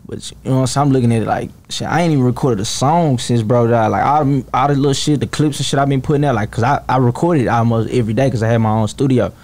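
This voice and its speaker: A man in his early twenties talks in a laid-back, rambling way on a podcast studio mic. His tone is mellow and dark. He keeps asking "you know what I'm saying". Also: medium pitch, fast, hardly any pauses. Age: 20s. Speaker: rapper guest. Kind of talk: casual interview talk. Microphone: studio mic, headphones.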